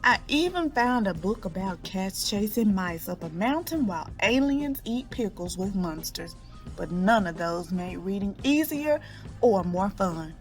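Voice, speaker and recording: A woman reading a picture book aloud to children in an expressive storytelling style, drawing out key words. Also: medium pitch, unhurried, soft background music.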